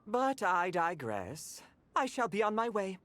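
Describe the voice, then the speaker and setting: A man's voice: high voice, sing-song, measured pace, fairly bright tone, full character voice. A man voicing a fussy, loyal cat butler in a video game, with a haughty, grumbling manner. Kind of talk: video game character acting.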